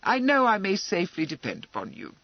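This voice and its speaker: A man voicing a brisk, commanding young aristocratic lady, high and emphatic. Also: huge pitch swings, vocal fry, dry recording, muffled recording, exaggerated voice. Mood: heartening. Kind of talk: audiobook character voice.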